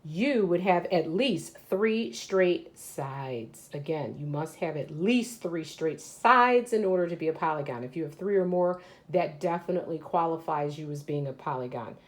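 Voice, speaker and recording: A woman's voice: low voice, expressive, moderate pace, hardly any pauses. A woman teacher reading aloud to children and explaining as she goes, often asking questions and answering them herself. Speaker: elementary school teacher. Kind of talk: read-aloud lesson for children.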